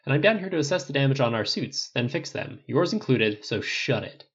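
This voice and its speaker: A man's voice: medium pitch, lively intonation, brisk, breathy edge, muffled recording, pitched above the performer's own voice. A man voicing a cocky genius inventor who grumbles with fond sarcasm. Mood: grumbling. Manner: grumbling, sardonic.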